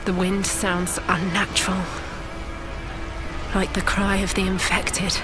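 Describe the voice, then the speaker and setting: A woman acting as a desperate sailor and parent, narrating a storm at sea in intense, halting fragments for an audio drama. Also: medium pitch, completely flat delivery, lightly acted. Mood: grim dread. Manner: intense, breathy, halting delivery in short fragments. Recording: dramatic music under the voice.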